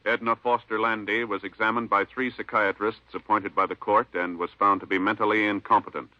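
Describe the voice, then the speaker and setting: A man's voice: fairly low pitch, level tone, a little fast. An American man announcing a 1950s radio program in a formal, solemn, scripted style. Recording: muffled vintage radio recording. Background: music.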